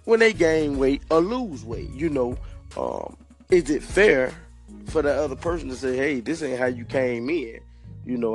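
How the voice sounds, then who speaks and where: A man in his fifties co-hosting a podcast, hoarse from a cold, laid-back and quick to laugh. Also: mid-range voice. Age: 50s. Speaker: podcast co-host. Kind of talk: casual podcast banter.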